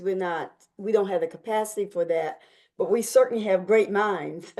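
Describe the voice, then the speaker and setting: Woman, meeting chair, measured and encouraging, mid-range voice. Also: medium pitch, mellow. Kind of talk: online meeting facilitation.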